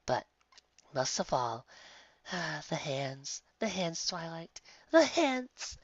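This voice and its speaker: A man's voice: on the high side, sing-song, very slow, no room sound, dull audio, pitched above the performer's own voice, full character voice. A man voicing an eccentric, gushing female pony with a theatrical, dreamy manner for a story. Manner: breathy dreamy sighing, theatrical.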